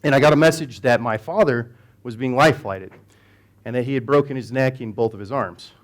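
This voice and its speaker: A man's voice: medium pitch, fairly quick. A man from the United States giving a conversational conference talk in a hall, with many fillers and restarts. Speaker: conference speaker.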